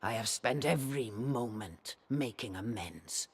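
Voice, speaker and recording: An ancient sorceress crone for a fantasy video game. Her husky, rasping voice takes its time and swings widely in pitch. She is sly, haughty and brooding, with a theatrical delivery. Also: animated, heavily acted. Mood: weary, defensive. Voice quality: raspy, husky. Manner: theatrical, deliberate, cackling. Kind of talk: dramatic video game acting. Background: background music.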